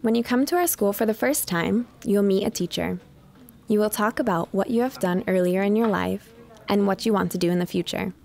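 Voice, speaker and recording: A woman reading a scripted informational voice-over in an even, measured way. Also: mid-range voice, creaky. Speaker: voice-over narrator and interpreter.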